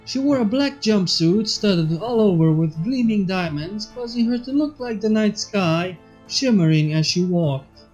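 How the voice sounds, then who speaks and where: A woman's voice: medium pitch, animated, measured pace, a little mellow. A woman reading fan fiction aloud as a scripted story narrator. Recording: slightly muffled, faint music behind. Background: background music.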